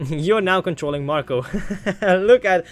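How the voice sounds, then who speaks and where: A man's voice: high voice, a little slow, faint music behind. A man giving joking, sarcastic gaming commentary and reading visual novel dialogue aloud. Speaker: video game let's player.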